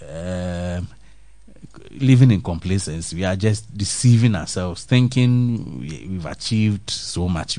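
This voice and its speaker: Deep-voiced guy reading a church news story then musing about it, earnest, dry close mic. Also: a little mellow, no room sound. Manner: earnest, musing, trails off with restarts and fillers. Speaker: host reading and reflecting on a faith news story. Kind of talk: read-aloud news story then reflective commentary.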